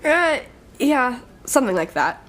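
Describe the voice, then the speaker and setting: Animated, high-voiced brash young thief played by a woman. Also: unhurried, heavily acted. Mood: breezy, joking. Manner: breezy, sardonic, slangy banter with laughs. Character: brash, sarcastic young shape-shifting female thief, college student. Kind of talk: audio drama dialogue, casual and conversational.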